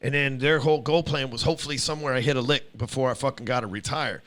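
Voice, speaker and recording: A man's voice: mid-range voice, fast, a bit bright. A man in his forties hosting a podcast, talking bluntly and swearing freely as he lays out his views in casual chat. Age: 40s. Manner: blunt, profane, lecturing. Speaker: podcast host.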